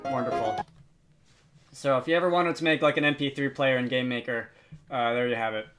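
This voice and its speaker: A man hosts a software tutorial with a mid-pitched voice. He explains things off the cuff, often pausing and hesitating as he thinks aloud. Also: fairly even intonation, music in the background. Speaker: tutorial host. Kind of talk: unscripted software tutorial narration.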